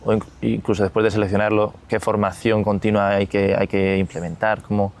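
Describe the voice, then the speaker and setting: A man's voice: on the low side, level tone, fairly quick, a little mellow. A man hosting a business interview, circling through restarts and asides before landing his questions. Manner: rambling, stacks restarts before reaching the question. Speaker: podcast host, interviewer.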